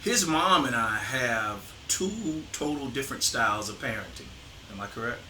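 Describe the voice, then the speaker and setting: Emphatic middle-aged man, bright and echoey. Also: mid-range voice, lively intonation, moderate pace. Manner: firm, emphatic, rises for emphasis. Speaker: host, father interviewing his son. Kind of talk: casual conversation. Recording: echoey room with faint noise.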